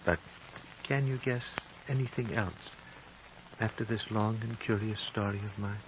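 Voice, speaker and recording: A man playing an ancient, serene, fatherly High Lama in a 1950s radio drama, solemn and formal in his phrasing. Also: fairly even intonation, a little slow. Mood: gently amused. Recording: muffled, hissy old radio recording.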